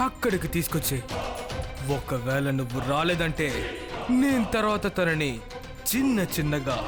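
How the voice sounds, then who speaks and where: A man's voice: on the high side, expressive, normal speed, lightly acted. Man voicing a boastful, threatening cartoon kidnapper. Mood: menacing. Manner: threatening, emphatic. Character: boastful, menacing male kidnapper demanding ransom. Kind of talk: cartoon dub acting. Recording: loud music underneath.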